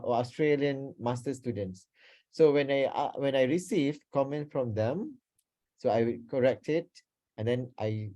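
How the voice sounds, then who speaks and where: A man's voice: mid-range voice, warm tone. A man with a Malaysian accent teaching an interactive online seminar, repeating himself and checking in with participants as he goes. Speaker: lecturer, workshop speaker. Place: online video call.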